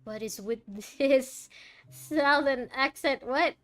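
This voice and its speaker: Higher-voiced woman gaming streamer. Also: slow pace. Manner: informal, reactive, musing. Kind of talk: casual playthrough commentary. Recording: game music behind the voice.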